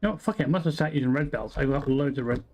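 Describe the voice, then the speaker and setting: Gravelly man swearing through a game. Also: mid-range voice, fast, a little mellow. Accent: English. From Wales. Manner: swears freely, self-deprecating, muttering to himself. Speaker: game streamer. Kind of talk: casual gameplay commentary.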